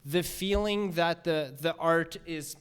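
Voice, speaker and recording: A male podcast host with a fairly high voice chats in a relaxed, rambling way. He sounds enthusiastic and loose. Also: slow, clear, resonant tone. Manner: laid-back, rambling, enthusiastic. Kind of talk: casual podcast chat.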